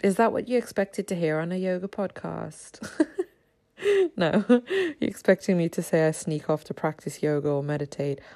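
A woman in her thirties hosting a casual solo podcast, a little raspy from just waking up, chatty with frequent ums and small laughs. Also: on the low side, lively intonation, moderate pace, dark tone. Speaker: podcast host.

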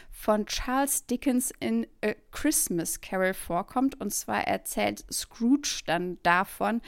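A woman's voice: mid-range voice, dry recording, clean studio sound. A woman co-hosting a podcast who is not a native German speaker, chatting casually, laughing often and stumbling over German words. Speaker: podcast co-host.

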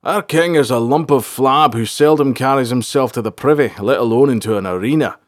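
A man's voice: medium pitch, brisk, dry recording, clean studio sound, pitched above the performer's own voice, lightly acted. A man voicing a blunt, sardonic sergeant of a band of fantasy soldiers, talking in colloquial speech. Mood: blunt accusing. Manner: blunt, colloquial, dryly sarcastic.

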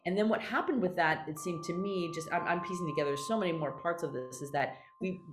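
A woman's voice: on the low side, fast talker, reverberant, background noise. A woman playwright being interviewed, talking candidly and animatedly and restarting her sentences often. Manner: animated, acts out quoted voices, many restarts. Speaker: playwright guest.

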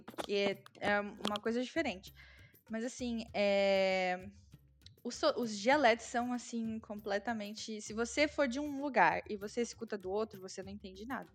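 A Brazilian woman with a Brazilian Portuguese accent, chatting casually and explaining things with lots of fillers. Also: on the high side. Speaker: guest, Brazilian living in Germany. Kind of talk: casual conversation.

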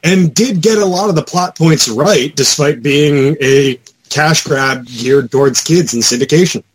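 A man's voice: on the high side, measured pace, slightly rough, low-quality recording. A man talking casually and enthusiastically as an opinionated panelist in a group podcast chat.

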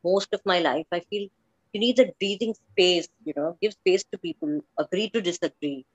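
A woman chats informally as an interview guest. Her voice sits on the low side, and the audio is a little muffled and lo-fi, though free of room echo. She restates points to stress them. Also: normal speed, husky. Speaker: podcast guest. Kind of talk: casual chat. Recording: slightly dull, lo-fi audio.

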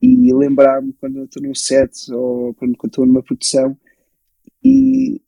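A man's voice: medium pitch, very animated, moderate pace, gravelly, dark tone, slightly rough, no room sound, rough recording. A man in his twenties with a European Portuguese accent, telling his own story casually as an interview guest. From born in Belgium, grew up in Portugal from age six. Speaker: interview guest, photographer and filmmaker.

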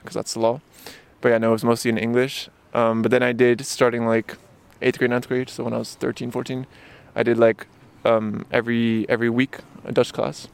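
A man's voice: medium pitch, flat delivery, fairly quick, vocal fry, hardly any pauses, clean tone. A man in his twenties, born in Japan and raised in Luxembourg and Barcelona, chatting casually as an interview guest outdoors in a park, with lots of fillers and restarts. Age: 20s. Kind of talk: casual conversation.

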